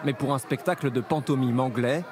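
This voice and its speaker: A man's voice: mid-range voice, brisk. A man reading scripted narration for a television news report. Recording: voice-over on music and crowd. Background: music and lively theatre crowd.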